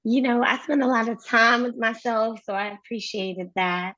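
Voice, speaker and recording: A woman's voice: fairly high pitch, normal speed, a loud crowd. A young adult woman, born in Nigeria and raised in Maryland, telling her story as a podcast guest in an upbeat way with frequent "um"s and laughter. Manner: upbeat, laughs often, many "um"s. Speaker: podcast guest, floral entrepreneur. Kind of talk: casual interview storytelling. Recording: dull dry sound.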